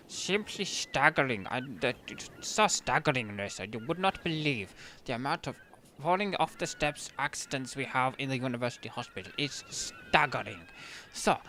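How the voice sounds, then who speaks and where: A man's voice: a little high, bright, forceful tone, slight background noise. A man playing an earnest, pompous inventor professor in an audio comedy, speaking emphatically and insistently repeating himself. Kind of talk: mock interview in audio comedy sketch.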